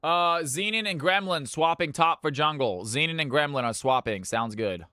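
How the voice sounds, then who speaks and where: A man streaming live and hosting viewer games, chatting casually with his audience. His voice is bright and smooth, and it is recorded dry and close. Every so often he launches into a loud hype-announcer voice. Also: a little high, moderate pace, no room sound. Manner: hype announcer bursts between easygoing chat.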